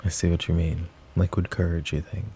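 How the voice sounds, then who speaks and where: A man playing a possessive young adult mafia boss in an ASMR roleplay, speaking low and measured to an unheard listener. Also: flat delivery, mellow, faint music behind, natural voice. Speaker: voice actor.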